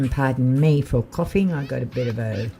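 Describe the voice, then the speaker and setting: Man, slightly croaky, mellow tone, unhurried, casual game stream chatter, soft keyboard typing behind. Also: medium pitch, expressive, a little hiss or hum. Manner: chatty, thinks aloud while playing. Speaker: live-streaming gamer. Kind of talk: casual live-stream gameplay chat.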